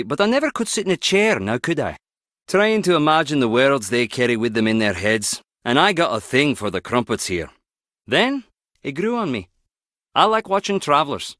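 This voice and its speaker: An actor playing a wry, guarded skip tracer in a video game, teasing yet protective, speaking in a clipped, conversational way. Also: male voice, mid-range voice, a bit bright, no echo, clean studio sound. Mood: wry, chatty. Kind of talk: video game character dialogue.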